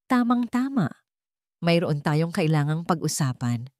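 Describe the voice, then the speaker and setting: Woman voicing a chillingly calm Filipino male villain. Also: low voice, measured pace, no room sound, clean studio sound. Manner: calm, cold, threatening. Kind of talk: audiobook character acting.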